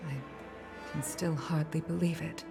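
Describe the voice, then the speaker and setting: A woman playing a solemn, authoritative commander in mourning in a video game, speaking in a measured, formal way. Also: low-pitched, level tone. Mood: solemn, grieving. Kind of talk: scripted game dialogue. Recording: music behind the voice. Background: music.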